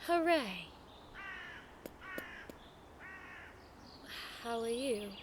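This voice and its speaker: An actress plays a prim young noblewoman with a sharp tongue in an audio drama. Her voice sits high, formal and arch with a sarcastic edge, over faint background noise. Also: lively intonation, a little slow. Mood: exasperated.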